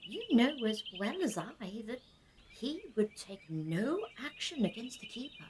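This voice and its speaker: A gracious elder stateswoman played by an actress in an audio drama. She speaks slowly and formally in a fairly high, breathy voice that swoops and sinks toward whispers, with birdsong behind her. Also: sing-song, noisy background. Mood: gently dismissive. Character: gracious, fretful ageing female councillor, gently indulgent.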